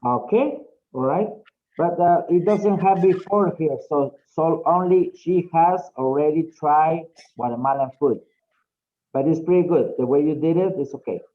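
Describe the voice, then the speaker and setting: A man teaching an English class, explaining slowly and often checking in with "okay?". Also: fairly high pitch. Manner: slow, drawn-out, frequent "okay?" checks. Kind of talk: online class instruction. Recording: dull lo-fi audio.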